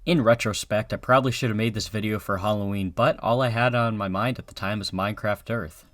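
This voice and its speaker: A man's voice: fairly low pitch, fairly even intonation, fairly quick, a bit bright, no room sound, studio quality. A man narrating a scripted video review in an informal, sardonic, sweary way.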